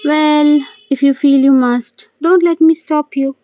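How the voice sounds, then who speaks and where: A woman's voice: high-pitched, fairly even intonation, measured pace, no room sound, highs cut off, faint music behind, voice pushed up. A woman reading the part of a playful, cajoling young man in an amateur audio play. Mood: wry.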